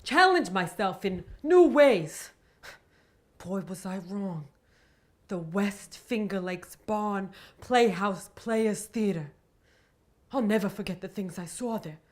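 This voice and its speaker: A woman's voice: mid-range voice, lively intonation, slow, pitched below the performer's own voice. A woman playing a melodramatic survivor of amateur theater in a mock confession, theatrical, haunted and overwrought. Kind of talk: mock-confessional comedy monologue.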